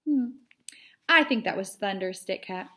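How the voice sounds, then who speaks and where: A woman's voice: mid-range voice, lively intonation, unhurried, pushed and bright. A woman voicing a stubborn, self-assured female storybook cat who talks in a matter-of-fact, condescending and dismissive way. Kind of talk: children's storybook character read aloud.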